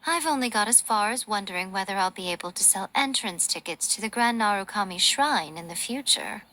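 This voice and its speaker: A woman's voice: mid-range voice, animated, brisk, sharp tone. A woman voicing a playful, elegant shrine maiden in a video game, self-assured, with poised and teasing theatrical phrasing. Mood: wry. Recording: studio voice under prominent music.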